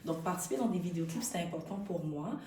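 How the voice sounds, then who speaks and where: A woman from Canada with a low voice, speaking earnestly as an interview guest, pausing and restarting as she reflects. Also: expressive, mellow, slight background noise. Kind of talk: conversational interview. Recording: roomy, distant mic.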